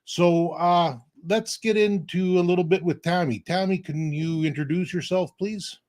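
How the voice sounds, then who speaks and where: A man opens a live online show over a video call. He talks in a friendly, loose, rambling way with small laughs. His voice sits a little higher than most men's. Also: measured pace, darkish tone. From Canada. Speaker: host.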